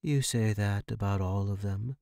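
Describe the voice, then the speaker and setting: A man's voice: on the low side, unhurried, vocal fry, warm tone, no echo, clean studio sound. Sinister lady villain for horror fiction, haughty, commanding, savoring her prey male performer acting it. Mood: demanding, dissatisfied.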